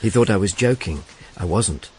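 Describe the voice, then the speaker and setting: A man's voice: lowish voice, animated, moderate pace, a little mellow, breathy edge. An actor playing a wry Roman informer, narrating his misadventures in a dry, sardonic way for a radio drama. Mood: wry storytelling.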